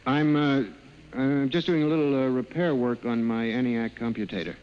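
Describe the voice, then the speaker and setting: Actor as haunted, anxious scientist in old radio drama. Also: male voice, mid-range voice, fairly even intonation, normal speed, clean tone, close to an everyday voice. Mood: nervous, evasive. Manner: intense, melodramatic, swinging from hushed to frantic. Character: haunted, anxious male computer scientist, obsessed and near hysteria. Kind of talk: 1950s radio drama acting, first-person narration. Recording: dull 1950s radio recording with music.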